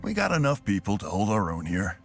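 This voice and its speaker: Low-voiced, steady male fighter. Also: a little fast, creaky, faint music behind, full character voice. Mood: considerate. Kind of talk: video game voice acting.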